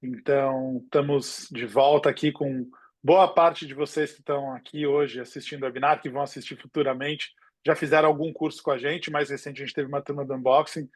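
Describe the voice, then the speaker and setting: A man's voice: medium pitch, steady tone, brisk, no room sound. A Brazilian man in his forties co-hosting a webinar in an informal, conversational style. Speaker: webinar co-host, futures researcher.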